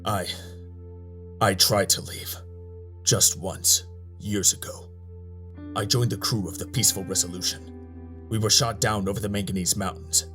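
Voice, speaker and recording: An actor playing a hard-nosed, pragmatic robot officer with a clipped, insistent, bitter manner. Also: male voice, lowish voice, fairly even intonation, normal speed, long pauses, over music, natural voice. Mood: bitter, confessional.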